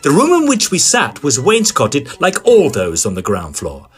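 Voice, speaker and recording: A man playing an excitable Edwardian gentleman ghost hunter, telling his story in formal, theatrical audio drama style. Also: a little high, moderate pace, faint music behind. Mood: suspenseful narration. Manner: formal, old-fashioned, dramatic phrasing. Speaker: narrator and lead character.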